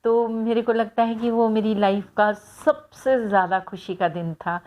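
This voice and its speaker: A middle-aged woman from Punjab, an actress answering fan questions in a casual, reflective way with many pauses and fillers. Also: a little high, steady tone, a little mellow. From Hoshiarpur, Punjab, India. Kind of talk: casual personal talk to camera.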